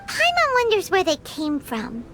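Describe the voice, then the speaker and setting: A woman voicing a tiny, childlike fairy companion in a video game, squeaky, cutesy, cheerful and earnest. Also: huge pitch swings, unhurried, exaggerated voice. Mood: curious. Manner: cutesy, refers to self in third person. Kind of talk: scripted video game character dialogue. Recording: loud game music behind.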